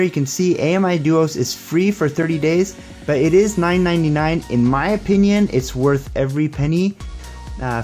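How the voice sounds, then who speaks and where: A man's voice: on the high side, darkish tone. A young man giving a casual, upbeat hands-on tech demo and tutorial in a conversational, explanatory way. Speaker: tech reviewer and presenter.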